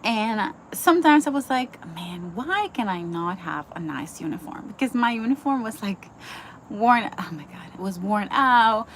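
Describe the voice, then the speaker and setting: A woman's voice: mid-range voice, expressive, normal speed, slight background noise. A young woman from the Dominican Republic giving an earnest, casual devotional teaching, full of fillers and restarts. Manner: chatty, full of likes and ums, earnest. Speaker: Bible teacher.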